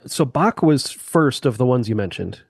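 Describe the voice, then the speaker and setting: A man of middle pitch talks through ideas with eager, sweeping comparisons, like a musician guest on a podcast. His voice sits close and dry on the mic, with no room around it. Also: expressive, moderate pace, gravelly, slightly rough, no room sound. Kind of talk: casual explanatory chat.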